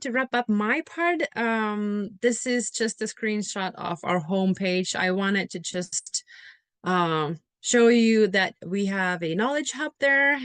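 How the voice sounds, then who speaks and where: A woman's voice: medium pitch, a bit bright, smooth, no room sound. Friendly woman presenter. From Finland. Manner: friendly and reassuring, frequent fillers. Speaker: software company presenter. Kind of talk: webinar presentation.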